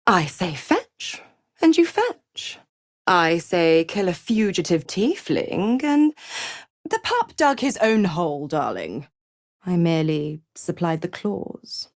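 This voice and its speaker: A woman's voice: lowish voice, big pitch swings, slow pace, dry recording, studio quality, full character voice. An actress playing a seductive, scheming female devil in a video game, mocking and purring with condescending endearments. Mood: menacing, gloating. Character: seductive, scheming, gloating female devil who makes pacts. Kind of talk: theatrical game character dialogue.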